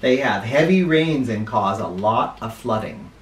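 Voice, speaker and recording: Expressive American man reading aloud, roomy sound. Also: medium pitch, slight background noise. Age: middle-aged. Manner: expressive, dramatic, deliberate emphasis. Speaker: children's picture book reader and host. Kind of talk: read-aloud for children with spoken asides. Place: small living room, indoors. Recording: roomy, echoey living room sound.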